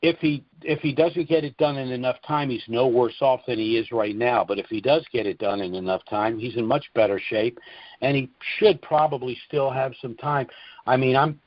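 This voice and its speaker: A man's voice: mid-range voice, normal speed, no echo. Man advising a caller over dull phone audio. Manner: repeats phrases for emphasis. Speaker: call host and trust seller advising callers. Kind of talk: informal advice on a call-in conference call. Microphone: telephone conference line. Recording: dull lo-fi conference phone line.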